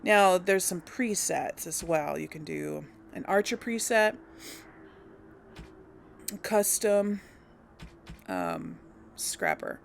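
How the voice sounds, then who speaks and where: A woman talks through her gameplay in an easygoing, unhurried way, often pausing to think out loud with ums. Her voice has a gravelly vocal fry. A faint hiss sits behind her. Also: lowish voice, big pitch swings. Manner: chatty and unsure, thinking aloud with many ums. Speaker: let's play host. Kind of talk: casual gameplay commentary.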